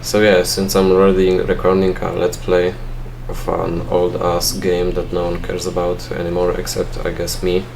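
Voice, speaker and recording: A man's voice: deep voice, completely flat delivery, moderate pace, gravelly, hardly any pauses, background noise. A young man with a Polish accent, a non-native English speaker, giving dry, self-mocking gameplay commentary and thinking aloud with fillers. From Poland. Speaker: let's play gamer.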